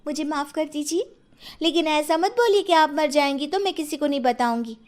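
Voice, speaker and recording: A woman's voice: sits high, a little fast, natural voice. A woman playing a shy, meek young daughter-in-law who speaks in a timid, pleading way in an acted story. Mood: apologetic. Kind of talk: acted Hindi moral story.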